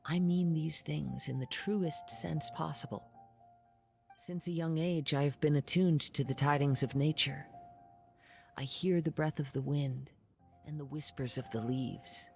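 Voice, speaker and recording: An actor playing a calm, courteous young wandering samurai in a video game, with measured, formal, poetic speech. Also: male voice, fairly high pitch, vocal fry. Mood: calm, reflective. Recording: music behind.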